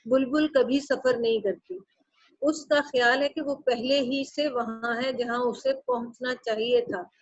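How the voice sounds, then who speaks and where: Woman teacher, Pakistani Urdu accent, fairly high voice; reads and explains line by line, dry and slightly dull recording. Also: no echo. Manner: reads a line aloud, then restates it in plainer words; jumps to a high pitch when stressing a point. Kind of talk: reading and explaining a text to a class. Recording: dull, muffled sound.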